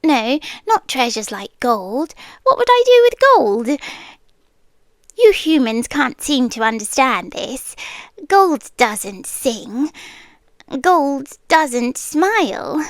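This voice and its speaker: A woman acts out a possessive sea spirit girlfriend with a high British voice. She is sweetly menacing and coaxing, often sinking into breathy whispers. The delivery is very unhurried, close and dry on the microphone, in the manner of ASMR roleplay. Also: very animated, dry recording, full character voice. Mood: possessive, adoring.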